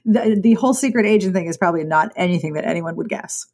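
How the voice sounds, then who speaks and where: A woman's voice: fairly low pitch, fast, a little mellow. Chatty, enthusiastic woman podcast host. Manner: enthusiastic, chatty, many "like" fillers. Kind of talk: casual interview chat.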